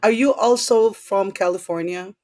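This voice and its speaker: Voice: medium pitch, normal speed, darkish tone, no room sound, clean studio sound. A host asking guests questions casually, with short encouraging reactions. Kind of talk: casual interview on a livestream.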